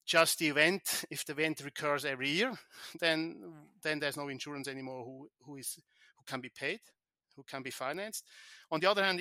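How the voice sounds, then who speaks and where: A man from Switzerland, an insurance tech founder, answering podcast questions in a halting way with many restarts and repeated words. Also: on the high side, sharp tone, no room sound.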